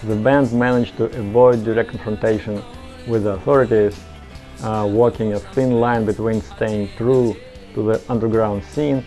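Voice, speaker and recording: A man's voice: lowish voice, fairly even intonation, normal speed, mellow, soft background music. A man giving explanatory, semi-scripted narration as a video presenter. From Soviet Union.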